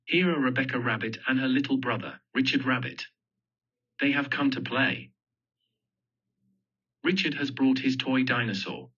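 A man's voice: medium pitch, moderate pace, pauses often, bright, forceful tone, slightly rough. A man reading a children's picture book aloud, telling the story simply and expressively. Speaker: storybook reader. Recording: dull lo-fi audio.

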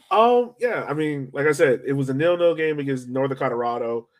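A man hosting a sports podcast in a casual, chatty way, often rambling, hedging and repeating himself as he goes. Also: fairly high pitch.

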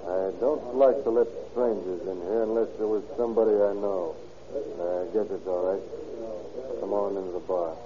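An actor in an old radio crime drama playing a gruff, suspicious bartender at a shady dive, curt and guarded. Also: male voice, lowish voice, steady tone, normal speed, close to an everyday voice. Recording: dull, hissy old radio recording.

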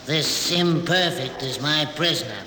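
An actor playing a brusque prison custodian in classic radio drama, clipped and businesslike. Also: male voice, fairly high pitch, unhurried, pushed and bright. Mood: curt. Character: brusque male prison custodian following secret orders. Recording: noisy old radio recording.

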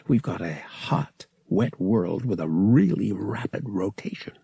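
A man's voice: lowish voice, lively intonation, a little slow, gravelly, warm tone, breathy edge, no echo, heavily acted. A man voicing a young pilot in an audiobook, curious and wry, with a casual, dry manner. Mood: wry, muttering.

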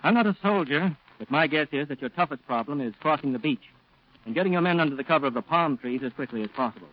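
An actor playing a polite, knowledgeable civilian plantation man explaining things in a measured way in a 1940s radio drama. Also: a little high, lively intonation. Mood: measured, helpful. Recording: dull old radio recording.

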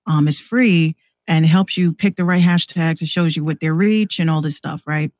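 A woman's voice: deep voice, steady tone, a little mellow, no room sound. Woman chatting casually in a dry recording. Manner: slangy, halting delivery with repeated words. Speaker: podcast guest. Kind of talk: casual chat, storytelling.

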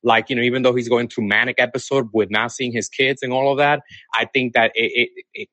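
Dominican guy calling in, bright voice, lots of likes and you knows, dry sound. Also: mid-range voice, steady tone, brisk, no echo. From Dominican Republic. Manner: hesitant, many fillers and restarts. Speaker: caller. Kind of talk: casual call-in talk.

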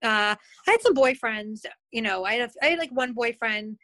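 A woman's voice: on the high side, animated, moderate pace, fairly bright tone. A middle-aged woman speaking as a guest in a casual chat, hesitant with many ums.

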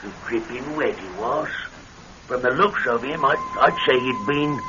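An actor playing a matter-of-fact, courteous police constable with a colloquial manner, in classic radio drama style. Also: male voice, medium pitch, huge pitch swings. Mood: chatty. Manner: colloquial, brisk, courteous to the lady. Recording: muffled, noisy old radio broadcast.

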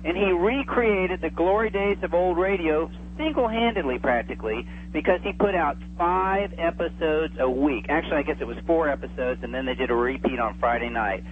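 A man's voice: on the high side, normal speed, few pauses, slight background noise. Enthusiastic man on a tinny phone line. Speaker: radio show guest, old-time radio enthusiast. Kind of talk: casual radio interview chat. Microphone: telephone.

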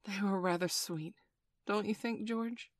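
Woman reading as a gentle, placid storybook mother. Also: medium pitch, unhurried, no echo, clean studio sound, pitched above the performer's own voice, close to an everyday voice. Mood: wistful. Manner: gentle, soothing. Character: placid, tender, devoted mother. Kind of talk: storybook character voice.

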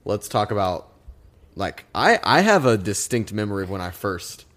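Laid-back young man, low voice. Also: sing-song, moderate pace, fairly bright tone. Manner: laid-back, drawling, laughs often. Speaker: podcast co-host. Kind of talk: casual chat.

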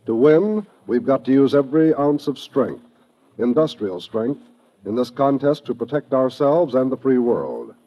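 American male announcer, medium-pitched, emphatic scripted delivery, 1950s radio style, muffled old recording.

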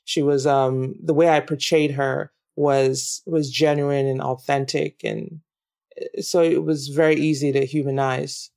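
Nigerian woman, interview guest, smooth resonant tone, thoughtful with frequent ums. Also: deep voice, flat delivery, measured pace. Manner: warm, reflective, laughs easily, many ums. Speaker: actress guest. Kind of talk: casual interview chat.

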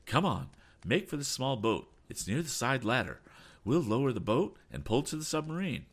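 A man voicing a bold, confident sea captain in an adventure storybook, with a brisk, commanding manner. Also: on the low side, big pitch swings, pitched above the performer's own voice. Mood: urgent, commanding. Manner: urgent, exclamatory, commanding. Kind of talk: audiobook adventure character.

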